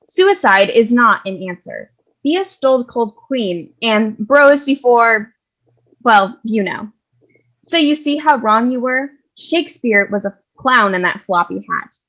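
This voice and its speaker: Casting: actress as opinionated, theatrical busybody cleaning lady for comic stage reading; higher register, muffled recording. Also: expressive, slow, fairly bright tone, full character voice. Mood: smug, declaiming. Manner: emphatic, self-assured, theatrical. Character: chatty, opinionated cleaning lady Sister Lawrence, eccentric storyteller.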